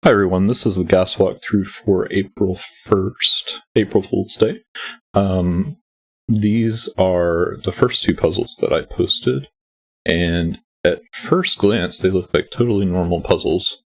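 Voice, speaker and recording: A man hosting a sudoku walkthrough, gravelly and nearly losing his voice, explaining each step patiently with many ums. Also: deep voice. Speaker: sudoku puzzle setter and walkthrough host. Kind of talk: explaining a puzzle solve.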